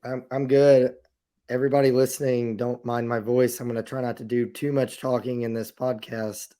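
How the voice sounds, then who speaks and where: A man's voice: mid-range voice, level tone, normal speed, mellow. A male podcast host who is losing his voice, asking easygoing questions in casual chat.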